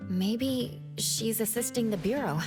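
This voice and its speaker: A woman's voice: fairly high pitch, unhurried, natural voice. A woman voicing an earnest, thoughtful young investigator in an anime-style game. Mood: curious. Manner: earnest, reasoning aloud. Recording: faint music behind the voice.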